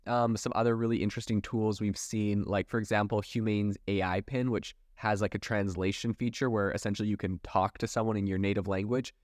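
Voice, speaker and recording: Low-pitched male podcast host, dry sound. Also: no echo. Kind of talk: solo tech news podcast commentary.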